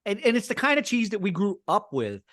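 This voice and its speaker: A man's voice: sits high, very animated, fairly quick, fairly bright tone, no room sound, clean studio sound. A man co-hosting a casual podcast who jokes at his own expense and laughs at his own lines. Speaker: podcast co-host.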